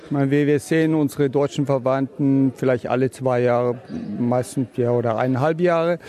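A man's voice: mid-range voice, fairly even intonation, normal speed, mellow. A man, a parent being interviewed, who answers thoughtfully and sometimes restarts his sentences.

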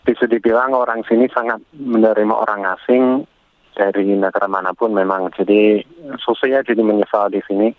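A man, a foreign guest speaking in a halting, careful way as a language learner, interviewed on radio. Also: lowish voice, fairly even intonation, no echo. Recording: tinny phone line.

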